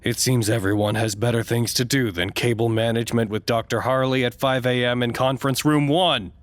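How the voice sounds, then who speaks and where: An actor playing a fussy, self-important, easily wounded doctor in an audio drama, with a pompous, theatrical delivery. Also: male voice, mid-range voice, fairly even intonation, fairly quick, dry recording, close to an everyday voice. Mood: indignant. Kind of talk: dramatic monologue, audio log.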